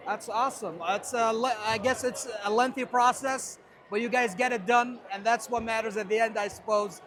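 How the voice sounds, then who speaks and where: Very high-voiced enthusiastic male interviewer. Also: fairly even intonation, normal speed, a crowd in the background. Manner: enthusiastic, echoes the guest's phrases. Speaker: interviewer, TV host.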